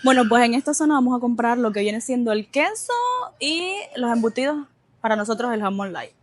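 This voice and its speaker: High-voiced Cuban woman vlogging casually. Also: lively intonation, a little fast, slight background noise. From Cuba. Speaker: vlog host. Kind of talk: casual vlog chat to camera.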